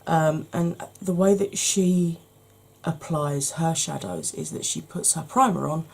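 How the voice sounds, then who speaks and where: A woman with a low, gravelly voice talks through a makeup tutorial, hoarse from hay fever. Her tone is mellow and husky, and she chats casually to the viewer, with plenty of ums along the way. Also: unhurried. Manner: chatty, addresses viewer directly, frequent ums.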